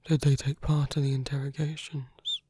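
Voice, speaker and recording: A performer voicing a seductive, protective villain in an intimate romantic ASMR roleplay, gently coaxing in a halting, husky voice that often drops to a whisper. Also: mid-range voice, level tone, dry recording, lightly acted. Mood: concerned, indignant. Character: seductive villain, protective, tenderly persuading an abandoned hero.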